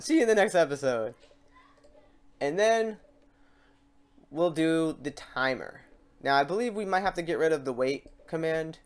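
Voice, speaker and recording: A man hosting a software tutorial, talking casually and thinking aloud as he works through steps and mistakes. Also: a little high. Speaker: tutorial host.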